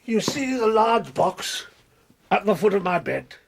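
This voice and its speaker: A middle-aged English gentleman of the Victorian era with jangled nerves. His voice is very high and gravelly, agitated and indignant, stammering as he blusters and evades in a radio drama. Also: big pitch swings, measured pace, slight background noise, full character voice. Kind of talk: radio period drama acting.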